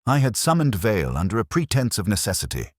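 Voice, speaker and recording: A man playing a brooding, methodical detective inspector, narrating a mystery in a measured, grave, literary manner. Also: fairly low pitch, huge pitch swings, no echo, studio quality. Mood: ominous, guarded. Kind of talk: scripted first-person mystery narration.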